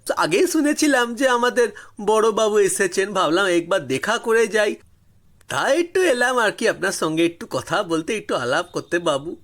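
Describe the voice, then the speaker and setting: Storytelling role: deferential rustic man in his fifties played by a male narrator, Hindi-tinged accent, high, loud, effusive. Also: big pitch swings, normal speed. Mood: eager, humble. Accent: non-Bengali, Hindi-tinged Bengali. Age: 50s. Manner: obsequious, effusive, repetitive.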